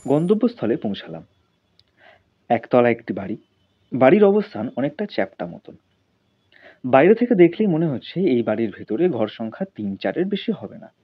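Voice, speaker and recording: A man's voice: mid-range voice, husky, lo-fi sound. A man narrating a scripted audio story in a dramatic storytelling style.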